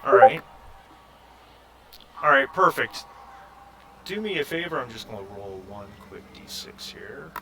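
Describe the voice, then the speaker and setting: A man running a tabletop roleplaying game as game master, speaking in a halting, thinking-aloud way. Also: medium pitch, very animated, fairly bright tone. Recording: clearly noisy background hum.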